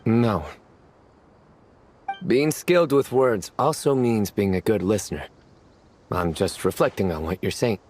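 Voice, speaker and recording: An actor playing a stern general of the desert who speaks tersely and solemnly. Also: male voice, lowish voice, a little slow, pauses often, darkish tone, a little hiss or hum, close to an everyday voice.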